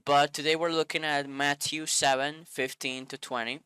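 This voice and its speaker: A sniffly man with a bright, crisp voice reads scripture and then shares his thoughts in an earnest, loose way, often stopping to find the right words. The sound is close and dry, with no room around it. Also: a little high, flat delivery, normal speed, no room sound. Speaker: Bible video host. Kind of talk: scripture reading then casual reflection.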